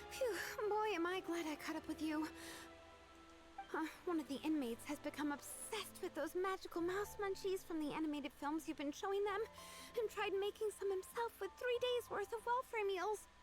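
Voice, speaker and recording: A woman's voice: very high voice, normal speed. A woman voicing a flustered young assistant in a game fan dub, urgent and pleading. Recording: music behind voice.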